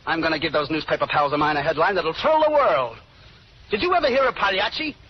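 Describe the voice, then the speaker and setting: An actor playing a brash, grandiose, menacing gangster boss in old-time radio drama style. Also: male voice, a little high, animated, fast talker, natural voice. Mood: cocky, scheming. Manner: brash, snarling, boastful tough-guy talk. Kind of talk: 1930s radio drama acting. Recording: muffled, noisy old radio recording.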